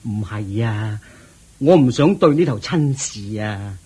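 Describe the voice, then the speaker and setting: A man's voice: fairly low pitch, lively intonation, slow pace, a little mellow, husky, full character voice. A man with a Hong Kong Cantonese accent playing a proud, worried wealthy father who pleads and frets in a radio drama. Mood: fretful. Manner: pleading, fretful.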